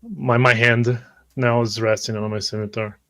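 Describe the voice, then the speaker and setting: Even-toned man narrating roleplay. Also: medium pitch, normal speed. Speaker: tabletop roleplay player. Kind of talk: narrating own character's actions.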